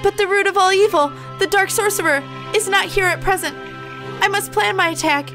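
A woman performs a young male pigeon from an anime visual novel who believes he is a fallen angel. The voice is extremely high and declaims in grandiose, archaic style, full of melodrama, over faint music. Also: fairly quick. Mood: ominous, dramatic.

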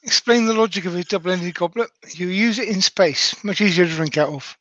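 A man's voice: high voice, fairly quick, pushed and bright, dry recording. A man chatting and joking casually as a guest on a live stream. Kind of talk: casual banter.

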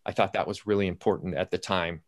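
Low-voiced man telling stories on a panel. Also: fairly even intonation, fast talker. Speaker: playwright on a discussion panel. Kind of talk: anecdotal panel talk about writing. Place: indoors at home, on a video call. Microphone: computer video-call audio.

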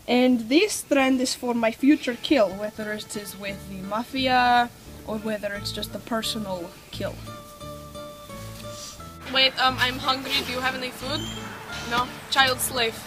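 Teen girl as deadpan mafia killer woman, matter-of-fact, lots of ums, higher voice, music behind. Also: unhurried, natural voice. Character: deadpan female mafia killer, cheerfully sinister. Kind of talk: mock documentary interview. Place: snowy backyard deck outdoors.

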